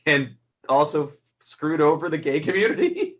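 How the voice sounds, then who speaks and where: Animated guy chatting abt movies, bit higher voice, pitch jumps all over, kinda exasperated. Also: normal speed. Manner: animated, exasperated, emphatic, mild swearing. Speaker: movie reviewer, guest co-host. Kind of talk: casual unscripted chat.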